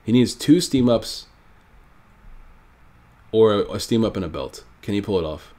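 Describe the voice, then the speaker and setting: A man's voice: on the low side, expressive, normal speed, warm tone. A man with a stuffy, nasal voice from a cold, casually thinking aloud while commenting on his own card game match. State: sick, stuffy nose. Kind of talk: live gameplay commentary.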